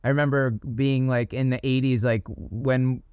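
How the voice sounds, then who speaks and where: A man's voice: mid-range voice, little pitch movement, measured pace, smooth, no echo, lo-fi sound. A man hosting a podcast interview in an upbeat, chatty way, laughing often and using lots of fillers. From Ohio. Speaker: podcast host. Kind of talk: casual interview.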